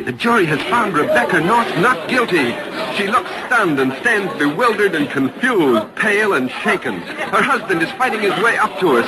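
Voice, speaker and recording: A dramatic on-the-scene newsman from the golden age of radio. His voice is slightly high, earnest and articulate, urgently describing events over a clearly audible crowd. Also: very noisy. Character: earnest radio news reporter at a tense trial. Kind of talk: live on-the-scene commentary, 1940s radio. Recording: old radio broadcast with crowd murmur.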